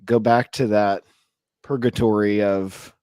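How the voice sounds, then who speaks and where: A man co-hosting a casual podcast chat, hoarse with a sore throat, talking close to his mic in a rambling, chatty way. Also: on the low side, fairly even intonation, slow pace, darkish tone, dry recording. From Ohio. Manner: chatty, rambling, laughs often. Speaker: podcast co-host. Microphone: mic held very close.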